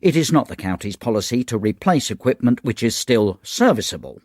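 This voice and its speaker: A man's voice: mid-range voice, big pitch swings, brisk, a little mellow, dry recording. A man playing a pompous, irritable county education official, officious and indignant, in a radio drama. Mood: officious indignation.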